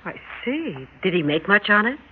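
An actress with an American accent playing a calm suburban housewife and mother who has hunches, in a radio drama. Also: mid-range voice, moderate pace, a little hiss or hum, lightly acted. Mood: mild. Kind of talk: radio drama narration and dialogue. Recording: muffled radio recording with prominent music.

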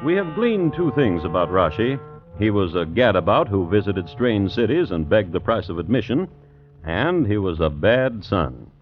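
A man narrating an old tale as a wry, measured storyteller in an old-time radio drama. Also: fairly low pitch, very animated. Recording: dull old radio recording. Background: music.

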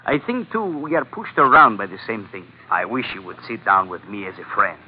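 On a tinny, faintly hissy vintage radio recording, a man plays a sly gangster lieutenant of about thirty with a Spanish accent. His halting English is insinuating and chuckling, in the manner of a 1940s radio play. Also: fairly low pitch, expressive, close to an everyday voice. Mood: sly, friendly persuasion.

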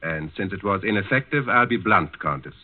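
An actor playing a suave, menacing German Nazi district governor in a 1940s radio drama, with a clipped, condescending manner. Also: male voice, low-pitched, lively intonation, a little fast, narrow, tinny audio. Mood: blunt, officious. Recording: band-limited old 1940s radio recording.

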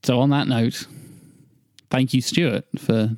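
A man's voice: mid-range voice, fairly even intonation, takes it slowly, darkish tone, no echo, studio quality. A man hosting a podcast with casual banter. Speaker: podcast host.

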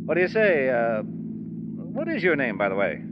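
An actor playing an affable but scheming middle-aged mine manager in a 1940s radio drama, chatty and coaxing. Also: male voice, mid-range voice, huge pitch swings, measured pace. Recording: muffled old radio, car noise.

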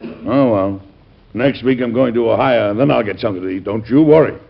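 An actor playing a genial but blustery, grumbling president in an old radio comedy-drama, with a folksy manner. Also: male voice, medium pitch, fairly quick, creaky. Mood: plaintive, grumbling. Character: genial, blustery, hungry president and family father. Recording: muffled, noisy old radio recording.

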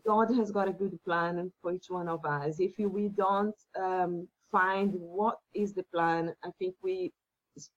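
A woman whose first language is Portuguese, a guest talking earnestly about faith with halting phrasing and many fillers. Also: lowish voice, steady tone, highs cut off. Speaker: podcast guest. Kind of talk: faith-based interview talk.

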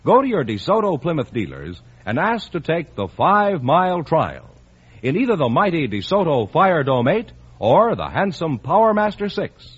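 A man's voice: fairly high pitch, very animated, moderate pace, breathy edge. A man announcing a scripted radio commercial in an upbeat, persuasive way. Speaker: sponsor announcer. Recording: muffled 1950s radio broadcast.

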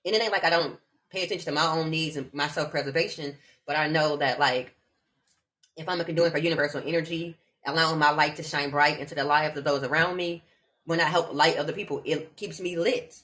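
A woman from Omaha, a laughter yoga teacher, chatting casually in an animated, slangy way. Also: deep voice, fairly quick. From Omaha, Nebraska. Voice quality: animated, slangy, spiritual talk with bursts of laughter. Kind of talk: casual chat.

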